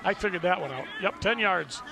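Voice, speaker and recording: A man's voice: high voice, expressive, a little fast, gravelly, a crowd in the background, noisy background. A man giving folksy, conversational color commentary on a football game, often repeating his phrases. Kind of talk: conversational sports analysis. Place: sports broadcast at an outdoor stadium.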